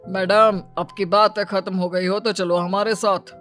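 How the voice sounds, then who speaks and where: A woman's voice: medium pitch, fairly even intonation, a little slow, fairly bright tone, faint music behind, lightly acted. A woman as a stern male police inspector. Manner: firm, formal. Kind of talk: dramatised cartoon story dialogue.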